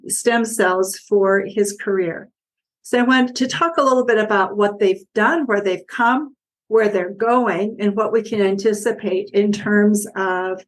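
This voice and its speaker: A woman's voice: mid-range voice, rough recording. Woman hosting an interview podcast. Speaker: podcast host.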